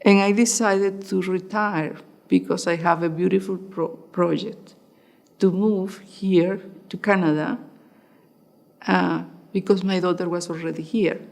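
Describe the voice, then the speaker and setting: A woman's voice: fairly low pitch, fairly even intonation, creaky, a little mellow. An older woman from Venezuela, a native Spanish speaker, speaking thoughtfully in a documentary interview. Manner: slow, thoughtful, laughs while retelling. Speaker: interviewee, immigrant mother.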